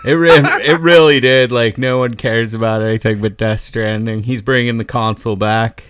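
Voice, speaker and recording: A man hosts a gaming podcast in a relaxed, joking manner. His voice sits at an ordinary pitch. It is recorded close and dry on a studio mic. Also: normal speed, clear, resonant tone, dry recording. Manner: laid-back, joking. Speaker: podcast host. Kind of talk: casual gaming podcast chat.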